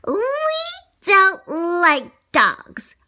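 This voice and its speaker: A woman voicing a pair of sly, scheming Siamese cats in a told children's story, speaking slow and taunting. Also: very high voice, lively intonation, very noisy, voice pushed up, full character voice. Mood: threatening.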